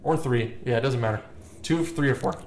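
Loud, excitable young man, voice cracking. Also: medium pitch, fairly quick, slight background noise. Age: young adult. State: voice cracking from yelling. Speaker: podcast co-host. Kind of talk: casual chat. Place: small office conference room. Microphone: headset with desk mic.

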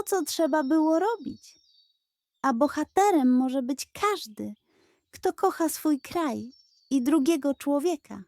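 A woman's voice: sits high, mellow, no echo, studio quality, voice pushed up. A woman voicing a kind, proud old grandfather and former soldier in a children's storybook, speaking earnestly and tenderly. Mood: modest, warm. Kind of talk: children's audio storybook character.

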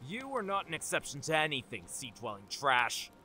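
A man's voice: a little high, very animated, moderate pace, pushed and bright, soft background music, full character voice. A man playing a sneering, contemptuous slaver in a fantasy audio drama.

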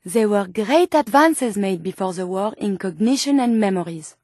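An actress voicing an earnest, naive robot scientist turned human in a video game, with a French accent and formal, precise phrasing. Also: female voice, medium pitch, lively intonation, normal speed, darkish tone, no echo, clean studio sound, close to an everyday voice. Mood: thoughtful. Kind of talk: scripted video game character dialogue.

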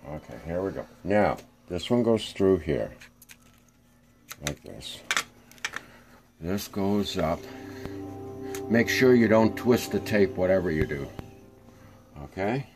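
A man's voice: low-pitched, animated, takes it slowly, long pauses, music in the background. An older man giving a casual hands-on demonstration, talking tersely as he works indoors. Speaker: reel-to-reel technician. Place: small room indoors.

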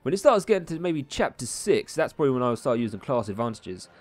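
A man's voice: medium pitch, sing-song, quick pace, no room sound. A man hosting a gaming video with chatty, colloquial, casual commentary. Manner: chatty, colloquial, reads story dialogue aloud theatrically. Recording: music behind the voice. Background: game music.

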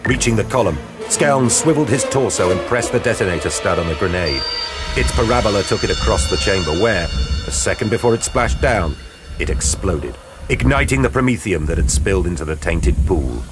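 A man giving a grave, measured, dramatic narration for an audio drama. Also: on the low side, lively intonation. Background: dramatic music.

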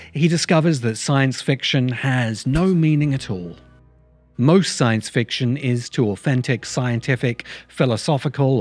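A middle-aged man narrating a scripted video essay in measured, emphatic, deliberate phrases, close to the microphone. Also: mid-range voice, a little mellow. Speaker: host of a science fiction video essay channel. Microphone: large microphone close in front of him.

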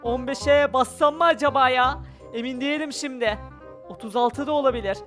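A gamer giving excited, exclamatory gameplay commentary with role-play, narrating every action aloud in speech. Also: sits high, a little fast. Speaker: gaming video creator. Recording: music behind the voice. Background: background music.

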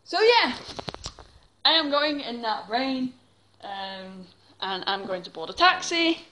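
A woman's voice: a little high, sing-song, slow, pushed and bright, a little hiss or hum, rough recording. A young woman from England chatting casually to a phone camera held at arm's length, rambling with lots of fillers. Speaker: vlogger. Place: bedroom, then indoors at home.

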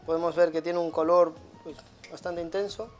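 A man in his thirties, a chef giving a casual cooking demonstration in a halting way with frequent tag questions. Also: on the high side, flat delivery, faint music behind. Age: 30s. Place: small tiled restaurant kitchen.

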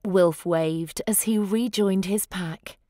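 A woman's voice: medium pitch, slow, warm tone, no echo, studio quality. A woman reading a rhyming children's story aloud, expressively and with dramatic emphasis. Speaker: storybook reader.

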